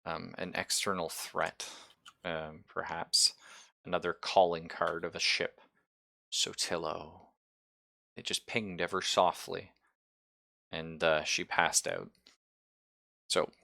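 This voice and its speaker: A man's voice: sits low, gravelly, sharp tone, dry recording. A man improvising narration as game master of a tabletop roleplaying game, conversational and playful, on a close mic. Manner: conversational, playful, swears casually.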